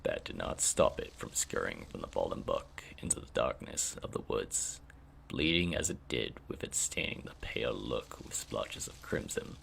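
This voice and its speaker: A man reads dark fantasy prose in an extremely low register. His voice is thick with heavy fry and often drops into breath, as a dramatic storyteller's reading. A faint noise sits behind him. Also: big pitch swings, normal speed, poor audio. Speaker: author reading own story. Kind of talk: dramatic scripted fantasy narration.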